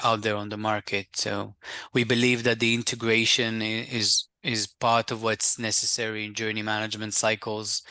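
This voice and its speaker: Man with a bright, flat, crisp voice. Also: mid-range voice, no room sound. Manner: measured, explanatory, lists many examples. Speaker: software vendor presenter. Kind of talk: product demo talk in a webinar.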